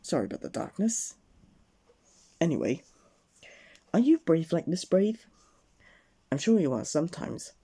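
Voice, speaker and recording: A man reading a children's storybook aloud at bedtime, gently addressing the young listener. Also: on the high side, big pitch swings, measured pace, pauses often, husky.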